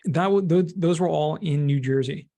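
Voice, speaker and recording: A middle-aged American man speaks as a guest in a conversational interview. His voice sits slightly high for a man and has a clear gravelly fry. He explains things in plain, everyday words. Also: normal speed, dark tone. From California, United States. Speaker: podcast guest, cost segregation expert and former teacher.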